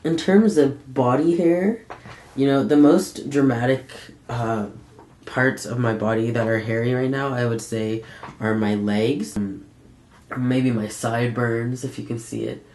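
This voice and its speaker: A young man giving a casual, candid personal update in a conversational, halting way with frequent pauses. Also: mid-range voice, steady tone, darkish tone, a little hiss or hum. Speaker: vlogger. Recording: echoey room sound.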